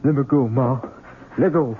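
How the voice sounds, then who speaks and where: An actor playing a gentle, simple servant son, speaking haltingly in anguish in a radio drama. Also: male voice, mid-range voice, a little hiss or hum, natural voice. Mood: desperate. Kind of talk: 1970s radio drama acting. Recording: phone-like narrow old radio broadcast.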